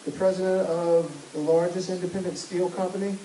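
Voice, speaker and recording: Mellow man reading aloud, echoey. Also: high-pitched, a little fast, a little hiss or hum. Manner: even, steady reading, defers politely. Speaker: reader for the speaker.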